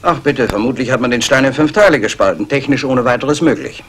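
An actor playing a diligent police assistant, precise and matter-of-fact, in a 1960s crime radio play. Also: male voice, mid-range voice, fast talker, fairly bright tone, slightly rough. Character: diligent male police assistant reporting findings. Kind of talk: 1960s German radio crime drama acting.

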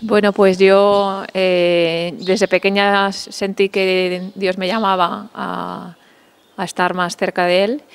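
Smooth-voiced young woman, thoughtful. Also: fairly low pitch. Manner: thoughtful, hesitant, searching for words. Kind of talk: interview answers. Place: cloister garden outdoors. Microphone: handheld mic.

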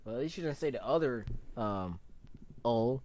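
A young American man hosts a casual reaction video from a small bedroom. His pitch swings widely as he comments, chatting loosely into a close desk mic. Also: expressive, moderate pace, darkish tone. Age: young adult. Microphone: close desk microphone with pop filter.

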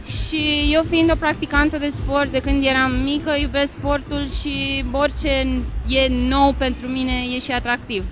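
An eager young woman speaks fluently into a handheld mic, her voice high. Music plays in the busy mall behind her. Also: flat delivery, hardly any pauses, low-quality recording. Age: young adult. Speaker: student, event participant. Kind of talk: casual answers. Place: indoor mall event. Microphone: handheld mic held by interviewer.

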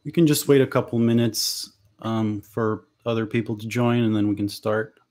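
A man's voice: fairly low pitch, steady tone, moderate pace, darkish tone. A young man from Lithuania, a native Lithuanian speaker, chatting casually on a livestream from a small bedroom through a headset, hesitant with frequent ums. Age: young adult. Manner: hesitant, many ums and restarts. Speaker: author hosting a livestream. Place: small bedroom at home, indoors.

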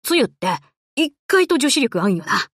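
A woman voicing a brash, tomboyish young warship girl in an anime game, talking in rough, cocky, casual boyish slang. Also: high voice, very animated, normal speed, fairly bright tone, dry recording, studio quality, heavily acted. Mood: breezy, casual. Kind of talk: Japanese anime game voice lines.

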